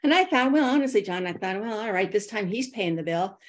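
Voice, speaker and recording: A woman's voice: medium pitch, a little fast. Middle-aged woman telling stories on a podcast. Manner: animated storyteller who acts out quoted thoughts. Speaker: podcast guest, consultant and author. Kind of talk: personal storytelling in an interview.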